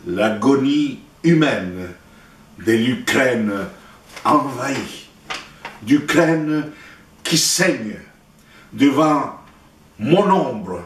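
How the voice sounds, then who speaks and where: A man from Chile with a Chilean Spanish accent, a native Spanish speaker, recites his poetry in a solemn, declamatory way with deliberate pauses. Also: mid-range voice, animated, slight background noise. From Santiago, Chile. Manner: deliberate, declamatory, with pauses between short phrases. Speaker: poet reading own work. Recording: roomy echo, distant microphone.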